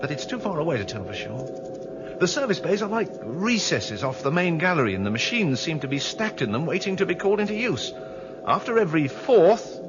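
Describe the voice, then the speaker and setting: An actor playing a watchful explorer, observant and a little hesitant, in a radio drama. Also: male voice, high-pitched. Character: watchful male explorer describing a vast machine gallery. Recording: dull lo-fi recording.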